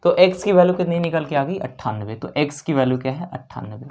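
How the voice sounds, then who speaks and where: A man's voice: on the high side, animated, brisk, mellow. A man teaching maths step by step, asking questions and answering them himself, repeating key steps and mixing in English terms. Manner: mixes English terms into speech. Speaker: maths teacher for exam preparation. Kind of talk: spoken maths lesson, working through questions.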